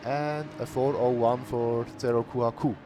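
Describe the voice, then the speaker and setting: A man commentating an online sim racing qualifying session in a plain, unhurried way. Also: medium pitch, music in the background. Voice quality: calls himself monotonous. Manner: unhurried, plain-spoken, bursts of cheering. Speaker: race commentator. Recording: noisy stream audio.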